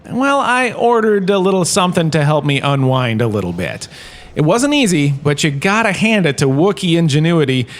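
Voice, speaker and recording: A man's voice: fairly high pitch, close to an everyday voice. A man playing a vain, bumbling washed-up star turned history lecturer in a comedic audio drama, with a theatrical, rambling, self-important delivery.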